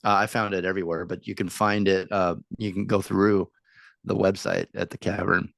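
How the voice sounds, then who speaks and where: A man's voice: low voice, little pitch movement, moderate pace, creaky, fairly bright tone, no room sound. A man hosting an interview from California, talking in a loose conversational way with many filler words. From United States (California). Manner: conversational, frequent ums and uhs. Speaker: podcast host. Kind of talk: casual interview chat.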